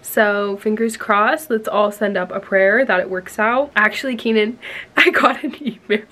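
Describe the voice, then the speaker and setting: A young woman, hoarse as she recovers from losing her voice, chatting casually to camera as a lifestyle vlogger. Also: medium pitch, unhurried, bright, forceful tone. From United States. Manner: chatty, bubbly, heavy use of "like" and "you guys".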